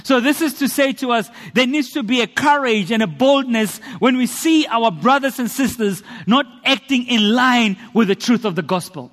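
A man's voice: very high voice, normal speed, few pauses, a bit bright. A South African man preaching a sermon and sharing his testimony, earnest and rhetorical, building emphasis through repetition. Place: church hall. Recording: long reverb of a church hall.

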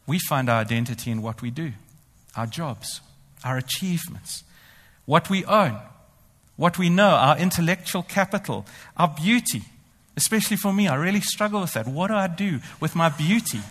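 A man's voice: fairly high pitch, expressive, moderate pace, a bit bright. A man preaching a sermon. He talks animatedly, often speaking in the first person as the apostle and boasting ironically. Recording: long hall reverb.

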